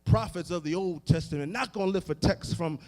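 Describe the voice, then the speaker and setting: American guy preaching a fiery baptist sermon, highish voice, big echoey hall, emphatic and repeats phrases for effect. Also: darkish tone. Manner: emphatic, oratorical, deliberate repetition with building cadence. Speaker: Baptist pastor. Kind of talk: impassioned sermon. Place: large indoor hall, live event. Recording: echoey hall with long reverb.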